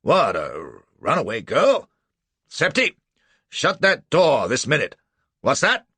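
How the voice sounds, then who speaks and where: A man's voice: medium pitch, wildly swooping pitch, slow, pushed and bright, slightly rough, no echo, pitched above the performer's own voice, heavily acted. A man voicing a blustering, stout older male judge who splutters with indignation. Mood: outraged.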